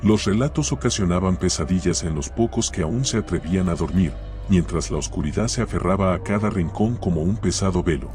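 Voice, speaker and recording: A man's voice: low-pitched, fairly quick, hardly any pauses, darkish tone. A man narrating a scripted horror story. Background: background music.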